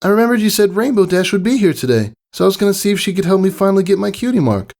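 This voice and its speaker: A determined young pegasus filly as a male reader plays her, pitched well above his own voice. She sounds earnest and youthful, a character from a storybook reading. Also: lively intonation, very fast, a little mellow. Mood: eager.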